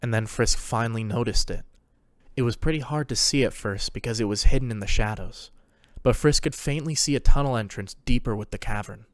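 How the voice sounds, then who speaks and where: A man's voice: mid-range voice, brisk, no echo. A man reading scripted story narration as the narrator of a fan fiction tale.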